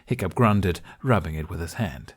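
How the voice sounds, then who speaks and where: A man reading scripted fiction aloud as an expressive podfic narrator. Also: sits low, normal speed, slightly rough.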